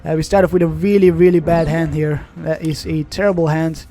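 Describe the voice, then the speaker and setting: A man's voice: on the high side, level tone, a little fast, mellow. A young man whose first language is Dutch, casually commenting on an online card game in a chatty, rambling way, often correcting himself. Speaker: gaming channel host.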